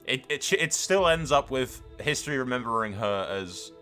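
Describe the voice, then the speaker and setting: A man's voice: medium pitch, expressive, moderate pace, pushed and bright, soft background music. A man giving casual, sweary gameplay commentary as a gaming YouTuber, chatting to his viewers in a blokey way.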